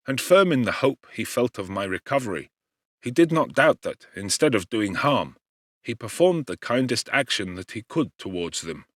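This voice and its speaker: A male narrator reads literary fiction in an expressive, articulate manner. His pitch moves around a great deal while the delivery stays measured, and the recording is dry, with no room sound. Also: no room sound. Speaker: audiobook narrator, actor. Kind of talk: dramatic literary narration of a gothic novel.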